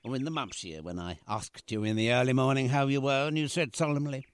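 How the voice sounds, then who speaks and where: A man's voice: medium pitch, fast, smooth, natural voice. A man playing a doting, whimsical and anxious father in a radio play, fond and fussing. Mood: fond reminiscing.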